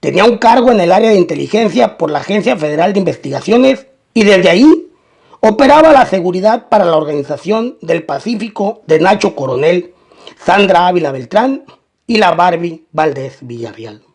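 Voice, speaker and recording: A male narrator tells a scripted crime story in documentary style. His voice sits high for a man and has a strong gravelly fry running through it. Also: animated, normal speed, darkish tone.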